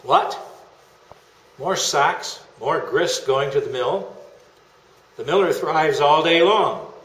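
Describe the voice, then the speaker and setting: A man's voice: mid-range voice, a bit bright, close to an everyday voice. A middle-aged man doing a dramatic reading as a poor old bankrupt miller, theatrical and plaintive, in a stage melodrama. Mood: indignant. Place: room in a historic house, reading from paper. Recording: echoey room, background hiss.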